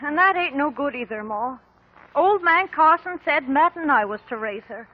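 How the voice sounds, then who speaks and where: A woman's voice: high voice, expressive, moderate pace, a little hiss or hum. An actress playing an anxious, protective young farm woman with a rural American accent in a 1950s radio drama. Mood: fretful. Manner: fretful, earnest. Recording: muffled old radio recording.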